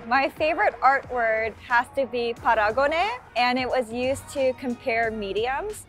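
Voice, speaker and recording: A woman art student answering interview questions with excitement. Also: fairly high pitch, measured pace, faint music behind.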